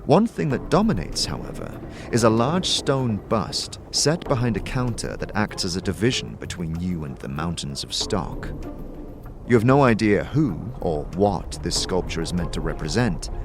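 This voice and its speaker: A man narrating a scripted fantasy tale in a measured, theatrical storytelling manner. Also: sits low, big pitch swings, over music. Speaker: narrator and game player.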